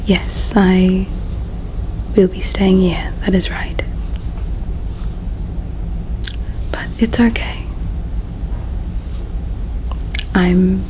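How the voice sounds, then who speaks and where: A woman voicing a gentle, resigned alien royal in a breathy, hushed whisper, tender and halting, as in an ASMR roleplay. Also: medium pitch, steady tone, slight background noise, close to an everyday voice, poor audio. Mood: solemn, resigned. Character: gentle, resigned female alien royal, self-sacrificing and caring.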